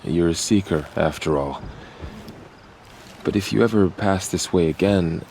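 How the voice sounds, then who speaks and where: A man's voice: sits low, measured pace, gravelly, a little mellow, slight background noise. An actor playing a shy young male warrior in a video game, hesitant and earnest. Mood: wistful.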